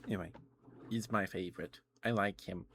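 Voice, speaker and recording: A man's voice: low voice, steady tone, moderate pace, fairly bright tone, slight background noise. A man live streaming casual, rambling ASMR chat about games, often hesitating and correcting himself.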